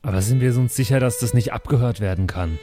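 A man's voice: medium pitch, quick pace, mellow. A man playing in an improvised role-play, chatting casually in a dry, sceptical way. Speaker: player in a pen and paper podcast. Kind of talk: improvised in-character casual chat. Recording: faint music bed behind the voice. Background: faint background music.

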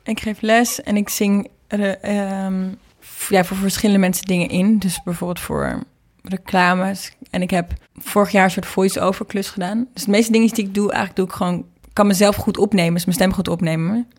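A woman's voice: medium pitch, steady tone, normal speed. A young woman with a Netherlands Dutch accent, chatting informally in an interview and often restarting her sentences mid-thought. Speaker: interview guest, singer and singing teacher. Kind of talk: casual conversational interview.

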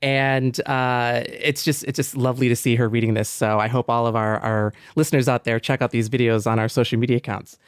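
A man's voice: mid-range voice, fairly even intonation, a little fast, hardly any pauses, clear, resonant tone, dry recording. A man hosting a podcast in a casual, reflective discussion, thinking aloud in an earnest way. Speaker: podcast host.

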